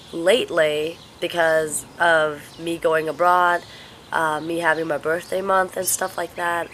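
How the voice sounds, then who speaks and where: Stuffy-nosed young woman talking to her camera at home, kinda tired and halting, bright clear tone, a little low, faint hiss behind. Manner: slow, halting, tired delivery. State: congested, sniffly with a cold. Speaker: vlogger. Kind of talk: casual vlog chat. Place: home, indoors.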